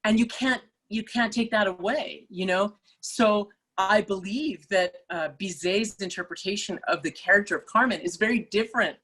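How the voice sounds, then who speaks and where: A middle-aged woman opera singer, speaking as a guest in a relaxed interview, thoughtful and full of small restarts and fillers. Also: medium pitch, normal speed, few pauses. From Malaysia. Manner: thoughtful, many restarts and fillers, laughs easily. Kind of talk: casual interview chat.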